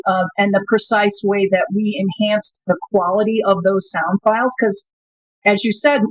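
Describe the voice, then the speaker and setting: A woman's voice: medium pitch, no room sound. A woman guest on an interview show talks through her answers thoughtfully, with frequent fillers and restarts. Her audio is dry and a little dull, like a remote connection. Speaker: company co-founder, guest.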